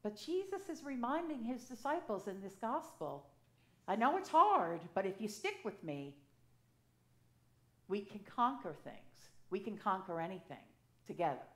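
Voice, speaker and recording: Woman priest in her sixties, chatty storytelling sermon at a pulpit mic, mid pitch, roomy church echo. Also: very animated, a little slow. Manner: conversational, personal storytelling with gestures. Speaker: assisting priest. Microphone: gooseneck pulpit mic. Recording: echoey church sanctuary.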